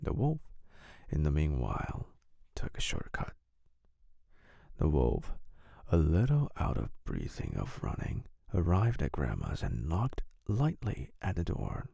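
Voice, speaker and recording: Male storyteller, bedtime narration, mellow, slow, expressive, dry close sound. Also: very deep voice, creaky, slightly rough, no room sound.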